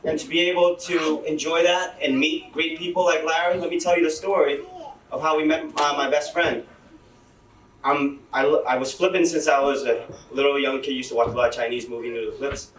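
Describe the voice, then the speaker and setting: Young man, higher-pitched, chatty storyteller, distant mic in an echoey hall. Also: fairly even intonation, a little fast, fairly bright tone, slight background noise. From Lowell, Massachusetts. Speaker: event speaker, teacher. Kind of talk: casual speech to an audience. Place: event hall.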